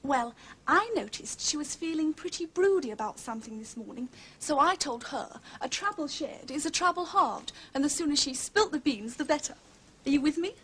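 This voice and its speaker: A woman's voice: high-pitched, animated, normal speed, fairly bright tone. An actress playing a plucky, chatty, well-bred girl in a period drama, jolly and confident, with an upper-class English accent. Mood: chatty, brisk. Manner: jolly, confident, matter-of-fact storytelling. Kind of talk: period detective TV drama acting.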